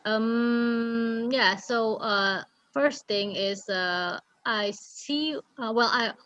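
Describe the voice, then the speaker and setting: A woman's voice: on the high side, drawn-out words, a bit bright, no room sound, lo-fi sound. A woman from Indonesia answering unscripted in a discussion, hesitant as she gathers her thoughts.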